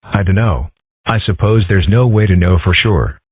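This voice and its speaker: A man voicing a calm, dry-witted ten-year-old boy in a cartoon comic dub, with a husky voice and a mild deadpan manner. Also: low voice, moderate pace, dry recording. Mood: mild, musing. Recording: muffled band-limited audio.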